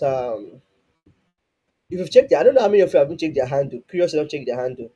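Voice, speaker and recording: A man with a husky voice, teaching a live online class in a rambling way, full of restarts and fillers. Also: fairly high pitch, mellow, low-quality recording. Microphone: online call.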